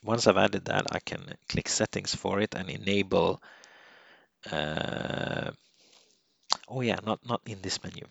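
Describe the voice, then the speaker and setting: A man from Sweden, a native Swedish speaker, talking through a software setup step by step, halting often with fillers and restarts. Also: sits low, gravelly, breathy edge, no echo, lo-fi sound. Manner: explanatory, halting with frequent "uh" and restarts. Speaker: software tutorial presenter. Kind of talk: unscripted screen-recording walkthrough.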